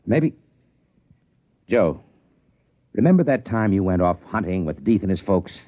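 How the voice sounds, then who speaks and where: Shrewd trader boss, lively deep-ish voice. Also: male voice, measured pace. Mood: musing. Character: shrewd, wary male trading-post boss. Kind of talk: 1950s radio drama acting. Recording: muffled old radio recording.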